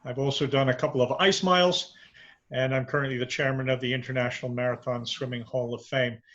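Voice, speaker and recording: Relaxed male interview host, muffled sound. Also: medium pitch, fast talker. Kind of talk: casual interview over video call. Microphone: video call.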